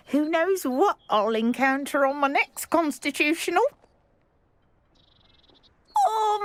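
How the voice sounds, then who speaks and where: Elderly witch for a fantasy game, gushing and lilting, with a high, swooping voice. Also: a bit bright, no room sound. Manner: slow, sing-song and gushing. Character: elderly witch, chatty, warm and fond of curious youngsters.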